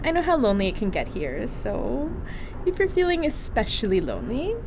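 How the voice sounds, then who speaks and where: A bubbly, teasing crewmate talks with a lively, swinging pitch and plenty of laughter. Her voice sits a little high, with steady hiss behind it. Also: brisk. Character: flirty, chatty female crewmate. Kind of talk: audio drama acting.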